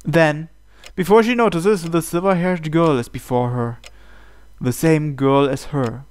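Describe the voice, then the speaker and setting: A man's voice: fairly high pitch, measured pace, a little mellow, clear, resonant tone. A man reading visual novel narration aloud in a dramatic way. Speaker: gaming channel host. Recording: game music behind the voice. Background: background music from the game.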